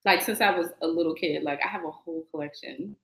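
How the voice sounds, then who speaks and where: A woman co-hosting a podcast live stream, talking with lively enthusiasm and lots of hesitant fillers. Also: low voice, fast, vocal fry, echoey room, low-quality recording. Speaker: podcast co-host. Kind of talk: casual live-stream chat.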